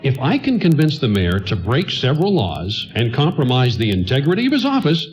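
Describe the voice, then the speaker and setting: An actor playing an eager, wry and harried show producer in a 1940s-style radio comedy. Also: male voice, mid-range voice, huge pitch swings, a little fast.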